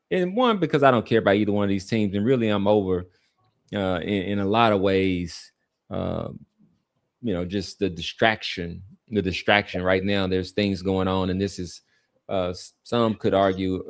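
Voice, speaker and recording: A man in a casual podcast chat, talking in a reflective, halting and rambling way. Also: sits low. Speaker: sports podcast host. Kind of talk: casual sports and society chat.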